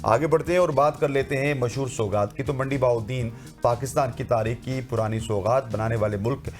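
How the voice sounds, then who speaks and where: A hoarse man presenting a morning TV show in a formal announcer way, with fillers. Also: mid-range voice, fairly quick, faint music behind.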